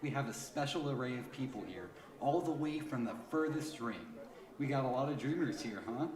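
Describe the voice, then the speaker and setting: A man's voice: medium pitch, lively intonation, moderate pace, close to an everyday voice. A man voicing an upbeat, chatty convention panel host in a comic fan dub. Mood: jovial. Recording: roomy, with crowd noise.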